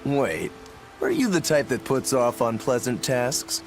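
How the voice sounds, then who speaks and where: A man's voice: medium pitch, wildly swooping pitch, measured pace, soft background music. Rokurou, a laid-back swordsman. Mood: casual. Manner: casual, blunt, playful. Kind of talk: game dub acting.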